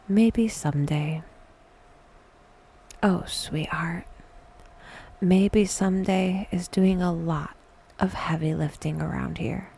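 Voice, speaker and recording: Female meditation host, hushed and breathy, mellow tone, intimate soothing delivery with deliberate pauses. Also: on the low side, fairly even intonation. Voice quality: soft, breathy whisper. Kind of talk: whispered, soothing guided meditation.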